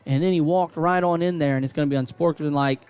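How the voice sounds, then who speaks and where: A man calling high school football play-by-play on radio, building to excited calls on big plays. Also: fast, clear, resonant tone. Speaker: play-by-play announcer.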